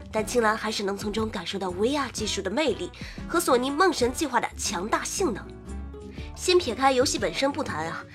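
A woman host reading scripted game news in an expressive presenter's manner, slipping in wry, playful asides. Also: fairly high pitch. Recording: music under the voice. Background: background music.

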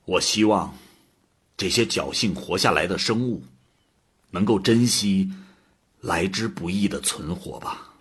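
Radio drama higher being voiced by a man: compassionate, solemn, measured, low-pitched with a very husky tone. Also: fairly bright tone, heavily acted. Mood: solemn, hopeful.

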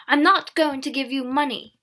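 A woman voicing a grumpy, indignant fat male peddler of fifty in a storybook, speaking slowly and emphatically. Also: sits high, animated, fairly bright tone. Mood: gruff, indignant. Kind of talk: storybook character acting.

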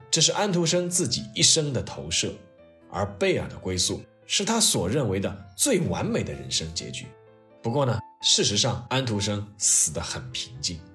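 Man narrating with very animated pitch over music. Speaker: host of a history storytelling podcast. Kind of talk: scripted narration with piano-backed storytelling and personal reflection. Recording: music playing behind the voice. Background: soft piano and orchestral music.

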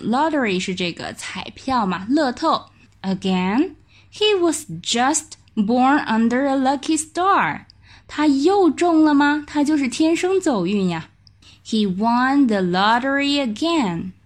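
Woman, higher-pitched voice, Mandarin speaker, English teacher, explanatory, measured repeated examples. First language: Mandarin Chinese. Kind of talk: language lesson narration with slow, repeated example sentences.

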